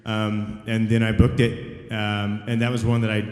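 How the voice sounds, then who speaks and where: A man's voice: on the low side, completely flat delivery, a little mellow. A man, a voice actor on a convention panel, recounting an audition earnestly and humbly, with many fillers. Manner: earnest, humble, quick bursts between fillers. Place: large convention hall. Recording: echoey hall with long reverb.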